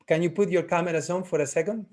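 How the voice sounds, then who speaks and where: A man teaching a class on a video call, taking roll in a friendly, halting way, thinking aloud as he goes. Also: a little high, steady tone, a little mellow.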